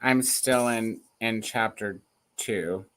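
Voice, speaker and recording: A co-host chats casually on a reading stream and keeps restarting half-finished sentences. The voice is bright and sharp, with soft laughs between thoughts. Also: mid-range voice, slow pace. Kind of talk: casual chat.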